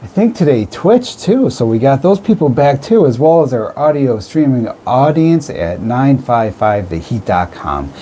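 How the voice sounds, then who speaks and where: A man's voice: medium pitch, very animated, a little slow, few pauses, mellow, slight background noise. An American man hosting a radio show, presenting segments and doing interviews.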